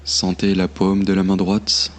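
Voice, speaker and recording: Low-pitched man guiding meditation, very even. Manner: calm, soothing, measured delivery with long pauses. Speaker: guided meditation instructor. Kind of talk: slow guided meditation narration. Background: meditation music.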